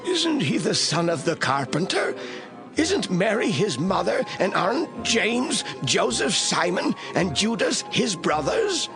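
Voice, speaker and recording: Casting: male actor as skeptical villager, high and swooping, scornful, for scripture drama, music behind. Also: big pitch swings, measured pace, creaky, full character voice. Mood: incredulous scorn. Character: incredulous, scornful hometown townsman.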